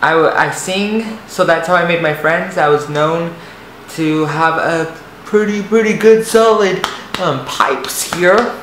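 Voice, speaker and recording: Soft-voiced young man telling his story. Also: on the high side, takes it slowly. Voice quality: light, soft voice. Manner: hesitant, rambling, many restarts and fillers. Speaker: vlogger. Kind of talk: personal storytelling to camera. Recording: echoey room, faint noise.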